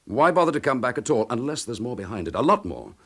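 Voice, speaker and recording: An actor playing a determined police chief inspector in a radio crime drama, forceful, clipped and impatient. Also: male voice, medium pitch, sing-song, quick pace, slightly rough, full character voice. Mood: grim, intense.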